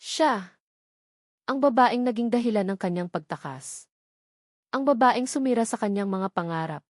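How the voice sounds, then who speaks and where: A woman with a Filipino accent reads a scripted romance drama as narrator, in a measured, dramatic storytelling manner with short pauses. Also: fairly high pitch, animated, no room sound, studio quality.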